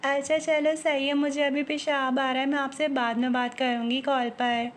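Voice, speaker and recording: High-pitched woman, very even intonation. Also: moderate pace, slight background noise. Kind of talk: casual phone-call small talk, spoken as one side of a call.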